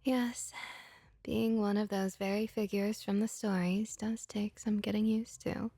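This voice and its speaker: Breathy, slow-talking friendly vampire innkeeper played by a woman. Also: medium pitch, little pitch movement, no room sound. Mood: soothing, reassuring. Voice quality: soft, breathy, often whispery. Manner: gentle, unhurried, reassuring. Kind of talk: soft-spoken ASMR roleplay.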